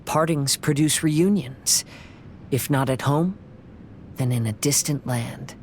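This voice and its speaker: Game samurai poet, male actor: young, gentle, reflective, unhurried mid-range voice, low rumble behind. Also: lightly acted. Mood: calm. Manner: gentle, measured, poetic.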